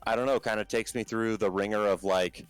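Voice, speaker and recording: Man, deep voice, smooth tone, casual rambling podcast chat, lots of fillers, faint music behind. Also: fairly even intonation, brisk, no echo. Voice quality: low talking voice. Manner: rambling, filler-heavy, many restarts. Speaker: band vocalist guest.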